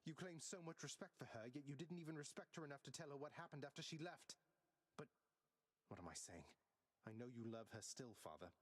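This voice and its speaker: An actor playing a defiant, sardonic young prince of the underworld in a video game, with dry, clipped delivery and a husky voice. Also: male voice, mid-range voice, expressive, fairly quick. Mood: bitter, reproachful.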